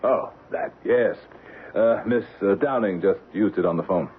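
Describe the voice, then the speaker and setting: A man's voice: lowish voice, unhurried, lightly acted. An actor playing a troubled middle-aged man of standing in an old radio drama, with a grave theatrical delivery. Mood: uneasy. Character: troubled middle-aged executive haunted by a noble past life. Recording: muffled, faintly noisy old radio recording.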